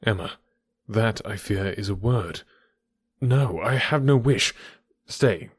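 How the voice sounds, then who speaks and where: A man voicing a grave gentleman who speaks in a low, subdued, earnest way. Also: lively intonation, takes it slowly, husky, no room sound, clean studio sound, exaggerated voice.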